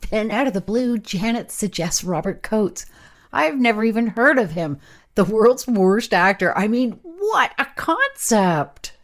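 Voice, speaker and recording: A woman playing an excitable, practical camera operator in a radio play, talking in a casual, emphatic way. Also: fairly high pitch, measured pace. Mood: excited. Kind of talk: radio play, naturalistic dialogue.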